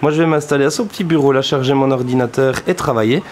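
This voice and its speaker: A man's voice: mid-range voice, fast talker. A man hosting a travel vlog in a chummy, exclamatory, joking way. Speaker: host of a koi-buying travel show. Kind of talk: casual vlog presenting. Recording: loud background noise, vehicles.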